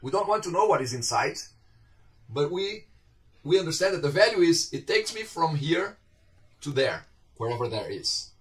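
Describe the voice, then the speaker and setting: A middle-aged man with a Brazilian accent teaches an online class from a home office, his slightly higher voice sitting a little back from the webcam mic. He talks conversationally, often asking his students questions. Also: very animated, moderate pace, long pauses, fairly bright tone. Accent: Brazilian Portuguese. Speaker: university lecturer. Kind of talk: informal online lecture. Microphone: webcam on a video call. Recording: slightly distant video-call audio.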